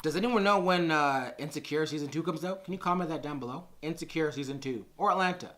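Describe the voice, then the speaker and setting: A man with a raspy, hoarse voice from being sick, reviewing a TV series to camera in a casual, animated, rambling way with frequent restarts. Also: fairly high pitch, fast talker, noisy background. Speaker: TV review vlogger. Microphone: on-camera shotgun mic.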